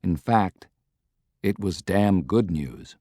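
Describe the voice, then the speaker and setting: A man's voice: deep voice, lively intonation, takes it slowly, dark tone, dry recording, clean studio sound. A man reading a historical novel as scripted, dramatic audiobook narration.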